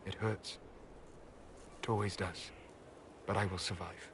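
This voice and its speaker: An actor playing a grave elven mage in a video game, protective and righteous, with measured, deliberate phrasing. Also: male voice, low voice, steady tone, fairly bright tone, slight background noise. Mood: quiet sorrow.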